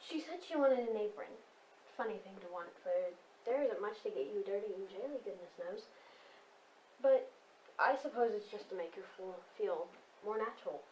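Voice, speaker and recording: A woman's voice: medium pitch, expressive, normal speed, darkish tone, close to an everyday voice, rough recording. A woman acting in a stage play as a timid, dutiful wife with a hesitant, deferential manner. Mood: hesitant, mild. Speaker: stage actor. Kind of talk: amateur stage play dialogue. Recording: faint background room noise.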